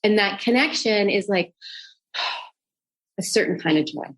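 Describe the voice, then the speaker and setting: Laughing podcast host, mid-pitched woman. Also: measured pace, poor audio. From Calgary, Canada. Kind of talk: casual conversation.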